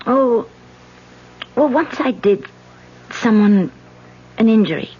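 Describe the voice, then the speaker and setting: An actress playing an anxious young woman running for office who hides a past killing, with a halting, fearful stammer, in a 1970s radio drama on a dull vintage recording. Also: fairly high pitch, animated, dark tone, slight background noise. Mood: uneasy.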